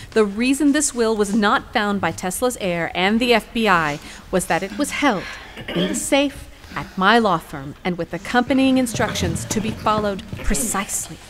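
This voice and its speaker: An actress playing a determined, eloquent female lawyer in a courtroom audio drama, with a formal, theatrical and deliberate delivery. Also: medium pitch, natural voice. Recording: music behind the voice.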